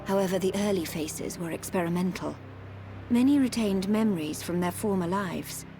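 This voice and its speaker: A woman playing a gentle, sorrowful member of a machine race in a video game, formal and measured. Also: fairly low pitch, soft background music, close to an everyday voice. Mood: solemn. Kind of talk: video game cutscene acting.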